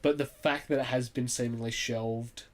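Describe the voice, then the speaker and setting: A man's voice: medium pitch, fairly even intonation, moderate pace. A man on a podcast panel giving his opinions in a casual, conversational way.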